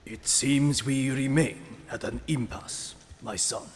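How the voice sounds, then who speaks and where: A man playing an aged rat sensei and stern, loving father in an audio drama, speaking formally and gravely. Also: mid-range voice, fairly even intonation, slow, slight background noise, close to an everyday voice. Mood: calm, fatherly. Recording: long reverberant hall echo.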